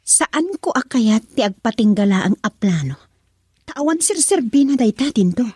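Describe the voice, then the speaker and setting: A woman playing a young woman who breaks off her engagement in a radio drama, her speech sharp and dismissive. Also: a little high, sing-song, normal speed, breathy edge, no echo, clean studio sound. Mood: defiant.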